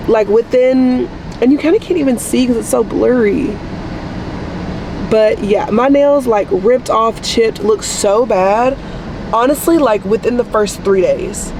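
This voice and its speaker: A young woman vlogging casually to camera, chatty and rambling, her voice deeper than usual after losing it, with air conditioning humming around her. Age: young adult. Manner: chatty, rambling, says y'all a lot. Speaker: vlogger, nursing student. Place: coffee shop and car. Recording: noisy background with air conditioning.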